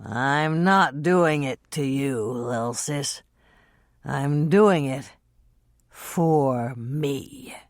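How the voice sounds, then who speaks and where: A woman reading an audiobook and voicing a drunk, brooding man who slurs his words slowly. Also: lowish voice, very animated, fairly bright tone, no echo, clean studio sound, heavily acted. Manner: slow, slurred drunken drawl. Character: drunk, brooding adult man, an actor in a scene.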